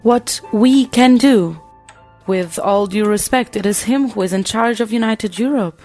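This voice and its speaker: A woman's voice: mid-range voice, a little slow, a little mellow, faint music behind, close to an everyday voice. A woman playing a loyal, outspoken housemaid in an audio drama, earnest and formal. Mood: pleading, insistent.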